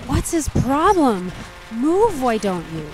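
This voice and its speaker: Young female lead for amateur radio drama, played by a woman: scared, defiant, high voice that swings widely, faint music underneath. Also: big pitch swings, takes it slowly, heavily acted, low-quality recording. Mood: angry, scared. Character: frightened young woman driver, wary, then defiant. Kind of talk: amateur radio play acting.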